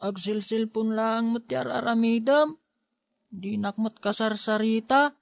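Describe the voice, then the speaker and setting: A woman's voice: a little high, steady tone, unhurried, gravelly, no echo. A woman playing a sulky young schoolboy who misses his parents, with an Ilocano Filipino accent, in an audio drama.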